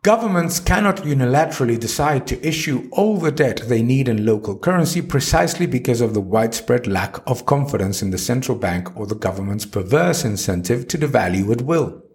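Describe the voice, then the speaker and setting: A man's voice: mid-range voice, lively intonation, a little fast, few pauses, a little mellow. A man reading an opinion article on economics aloud in an emphatic, argumentative manner. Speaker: article narrator.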